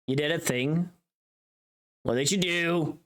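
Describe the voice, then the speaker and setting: A woman's voice: low-pitched, slow pace. A woman livestreamer chatting casually while she works, muttering to herself and repeating phrases in a rambling way. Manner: swears freely. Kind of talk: casual chat while working on stream.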